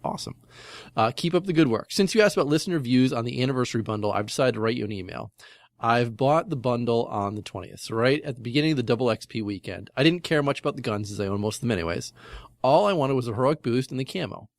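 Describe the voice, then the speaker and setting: Male podcast co-host, average pitch, close dry sound, informal reading and chat. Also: expressive, fast talker, vocal fry, dry recording. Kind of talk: casual podcast chat and reading listener email.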